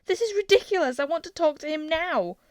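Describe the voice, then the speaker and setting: A desperate young prince who shouts, pleads and defies his father, voiced by a woman. His cries rise high and strained above the reader's usual pitch. The recording is dry and close. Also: normal speed, smooth, no room sound, natural voice. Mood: indignant.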